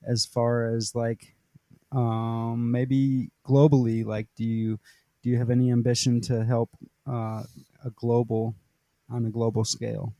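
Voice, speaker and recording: A man hosting a casual podcast interview, talking in a halting way with many fillers. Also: mid-range voice, little pitch movement, dark tone, no room sound. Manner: halting, many uhs and likes. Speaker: podcast host.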